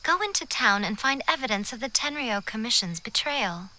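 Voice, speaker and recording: A woman acting a poised, sly and haughty mastermind in an English video game dub, speaking in a measured, condescending, formal way. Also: a little high, animated, bright, forceful tone, slight background noise, poor audio. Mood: knowing, instructive.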